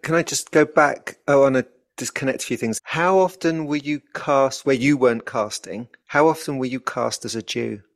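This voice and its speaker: Guy cohosting a chat, mid pitch, thinking out loud, dry close mic. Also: normal speed, few pauses, no echo. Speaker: podcast co-host. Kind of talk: casual conversation.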